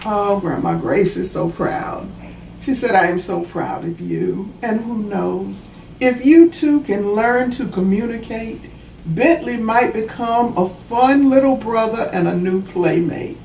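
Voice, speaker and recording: Slow-reading woman in a roomy space. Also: a little high, animated, gravelly, darkish tone. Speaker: author reading her own children's book. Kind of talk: children's storybook read-aloud with explanatory asides. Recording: roomy, distant mic, faint background noise.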